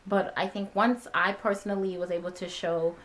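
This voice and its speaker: A woman's voice: medium pitch, level tone, moderate pace, a bit bright, rough recording. A woman in her twenties, born in Ghana and raised in America, answering viewer questions in a casual, chatty talking video with many ums and uhs. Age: 20s. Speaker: vlogger.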